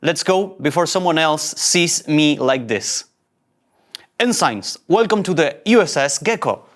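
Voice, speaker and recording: An actor voices a young male ensign in a sci-fi comedy table read. The delivery is highly animated, swinging between forced captainly bravado and halting self-doubt. He is easily flustered and keeps second-guessing himself. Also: lightly acted. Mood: flustered authority. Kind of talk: table read of comic sci-fi game script.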